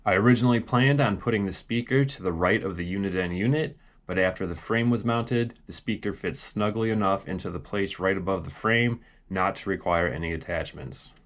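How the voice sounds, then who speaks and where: A man's voice: sits low, brisk, hardly any pauses. A man casually walking through a do-it-yourself project in a plainspoken, explanatory way. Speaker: DIY car vlog host. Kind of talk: casual project walkthrough with voice-over.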